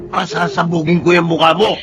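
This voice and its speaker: An actor playing a rich, possessive older executive in a Filipino radio drama, blunt and menacing. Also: male voice, fairly high pitch, little pitch movement, a little fast, a crowd in the background, natural voice. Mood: jealous rage. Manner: domineering, blunt, threatening.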